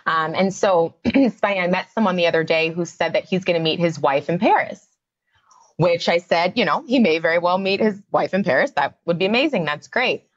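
A woman's voice: fairly low pitch, animated, fairly quick, muffled recording. A woman, a psychotherapist guest on a podcast, explaining her views in a conversational way with many fillers. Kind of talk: podcast interview.

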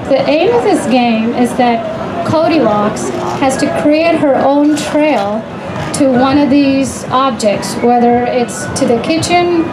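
A middle-aged woman giving an encouraging, explanatory live presentation on a handheld microphone through a PA in an outdoor tent. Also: sits high. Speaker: presenter, mother co-presenting with her child. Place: open-sided tent at an outdoor fair. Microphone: handheld microphone through PA, filmed from audience. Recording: outdoor PA speaker, clear background noise. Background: outdoor fair ambience.

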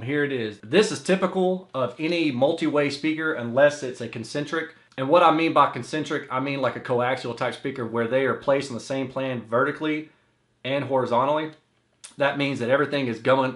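A man's voice: medium pitch, fairly bright tone. American man explaining in an echoey room. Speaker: audio reviewer and host. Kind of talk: explanatory product review to camera. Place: small indoor home office, seated. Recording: roomy echo of a small room.

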